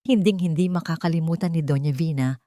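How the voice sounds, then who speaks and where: A woman's voice: sits low, fast talker, mellow, no room sound, clean studio sound. A woman storyteller reading scripted fiction in a dramatic narration style.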